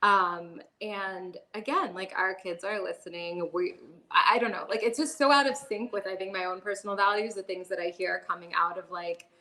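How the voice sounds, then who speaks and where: A woman talks things through slowly and thoughtfully on a podcast, stringing long sentences together with plenty of "you know" and "like." Her voice is bright and tense at the edges, and her pitch sits in an ordinary middle range. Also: steady tone. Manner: reflective, talks in long winding sentences with many fillers. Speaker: podcast guest. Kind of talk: casual podcast conversation.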